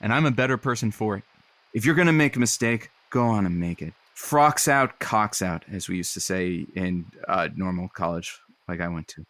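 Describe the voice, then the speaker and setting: An actor playing a glib, wry radio love-advice host in a scripted audio comedy. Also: male voice, fairly low pitch, animated, moderate pace, a bit bright, dry recording.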